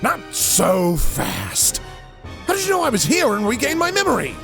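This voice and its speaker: Man doing a highish blustering evil mad scientist. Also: huge pitch swings, normal speed, vocal fry, soft background music, heavily acted. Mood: startled, alarmed. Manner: exclamatory, theatrical. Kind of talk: fan audio drama acting.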